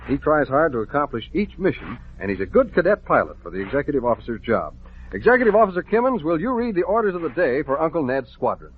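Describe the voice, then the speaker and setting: American man, 1950s radio announcer, highish animated voice, narrow broadcast sound with faint noise. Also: fast.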